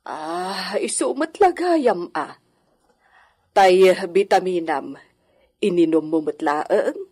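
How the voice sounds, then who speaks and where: A woman's voice: fairly low pitch, lively intonation, takes it slowly, darkish tone, slightly rough, full character voice. An actress playing a caring, bossy village midwife in a radio drama, admonishing and motherly, with a Filipino accent. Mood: scolding concern. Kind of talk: Ilocano radio drama acting.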